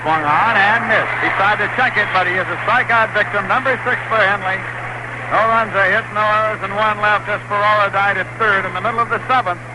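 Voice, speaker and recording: Male play-by-play announcer, vintage radio, slightly high voice, hissy old broadcast. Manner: brisk, rising excitement on big plays. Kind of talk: baseball radio commentary.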